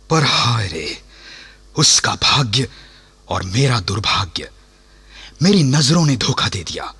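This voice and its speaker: An actor playing a defiant, unrepentant Indian revolutionary prisoner in a radio play, speaking in an impassioned, declamatory way. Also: male voice, medium pitch, bright, forceful tone, husky, exaggerated voice. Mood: proud, regretful.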